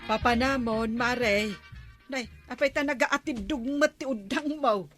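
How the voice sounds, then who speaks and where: A woman's voice: fairly high pitch, a little slow, a bit bright, soft background music. A woman playing a skeptical, gossiping village woman with an Ilocano accent in a radio comedy drama. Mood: incredulous.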